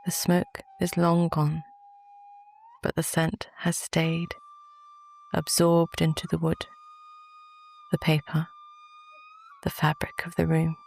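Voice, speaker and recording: A woman with a British accent gently narrates a guided sleep meditation in a soft, calm, soothing voice. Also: low voice, takes it slowly, vocal fry, pauses often.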